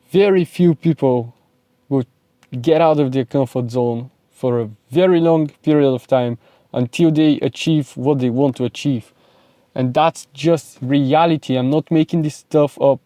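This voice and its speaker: A man's voice: mid-range voice, dark tone, no echo. A young man with a Bulgarian accent, giving an emphatic, repetitive motivational talk straight to camera. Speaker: self-improvement vlogger and speaking coach.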